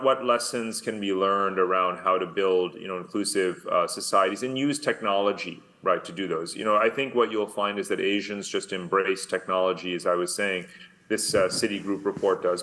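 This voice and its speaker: A man's voice: on the low side, pushed and bright. A middle-aged man with a New York accent, speaking by video call at a conference, stressing his points with repeated "right?" and "you know". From United States. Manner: emphatic, repetitive, says "right?" and "you know" often. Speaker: keynote speaker.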